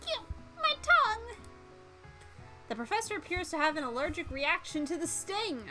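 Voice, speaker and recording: Animated, bright high-voiced woman streamer. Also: brisk. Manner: animated, dramatic narration with asides. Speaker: VTuber streamer. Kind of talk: playful read-aloud of a visual novel on a livestream. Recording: music behind.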